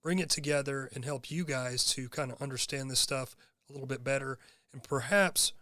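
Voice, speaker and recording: Hoarse man hosting a podcast. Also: mid-range voice, fairly bright tone, no echo, clean studio sound. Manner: earnest, rambling with fillers. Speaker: podcast host. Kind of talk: casual monologue.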